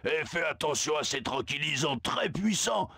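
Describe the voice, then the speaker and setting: A man voicing a sly male zoo animal who warns in a slow, hushed, conspiratorial way, in a video game dub. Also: on the high side, animated, gravelly, slightly rough, dry recording, studio quality, exaggerated voice.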